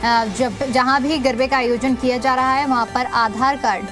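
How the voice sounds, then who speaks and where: Female news anchor, high voice, explanatory live bulletin delivery, prominent music bed behind. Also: fairly even intonation, moderate pace. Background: background music.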